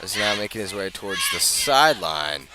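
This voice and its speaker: A man calling live American football play-by-play for radio, moving between measured narration and raised, excited calls. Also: fairly low pitch, shouting, a loud crowd. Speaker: play-by-play sports commentator. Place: outdoors at a high school football field. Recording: noisy outdoor stadium, live broadcast.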